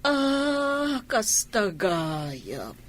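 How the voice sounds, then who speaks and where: A woman's voice: on the high side, big pitch swings, drawn-out words, exaggerated voice. A woman playing a scheming, gleeful mother-in-law in a radio drama, with an Ilocano accent. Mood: gleeful, spiteful.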